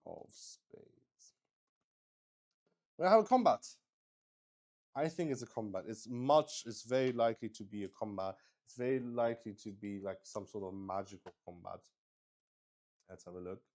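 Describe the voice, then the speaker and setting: A man's voice: fairly low pitch, darkish tone. A man hosting a solo tabletop roleplay stream, improvising the narration as he thinks aloud and repeats phrases. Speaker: game master and solo player, show host.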